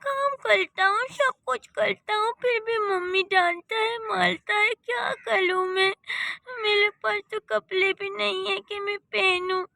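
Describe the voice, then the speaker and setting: A woman's voice: extremely high pitch, fairly even intonation, unhurried, creaky, pushed and bright, no room sound, clean studio sound, pitched above the performer's own voice, exaggerated voice. A woman voicing a little boy who whines and sobs as he complains. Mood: whining. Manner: whiny, sobbing complaints. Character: whiny, tearful little boy.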